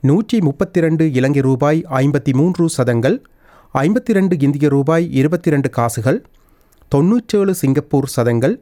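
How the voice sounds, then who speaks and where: A man reading a scripted news bulletin as presenter, in a formal, measured manner. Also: a little high, dark tone.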